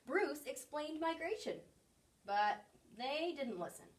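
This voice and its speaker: A woman reading a children's picture book aloud in an expressive storytelling style. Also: high voice, slow pace, distant mic. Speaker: story reader.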